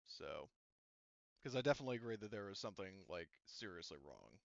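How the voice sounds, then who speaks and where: A man acting as game master in an online roleplaying session, thinking aloud and haltingly working through rules and dice. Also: low-pitched, big pitch swings, creaky, no room sound, dull audio.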